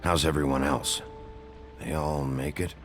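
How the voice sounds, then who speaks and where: A man voicing a weary, gruff sheriff in a video game, guarded and blunt, with gravelly, terse delivery. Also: fairly even intonation, measured pace, faint music behind. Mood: guarded, tired. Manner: terse, low-key delivery. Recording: background score under the voice.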